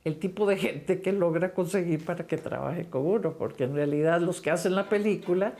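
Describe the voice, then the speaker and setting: An older woman from Costa Rica, a film director, talking about her work in a reflective, unhurried interview. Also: lowish voice, warm tone.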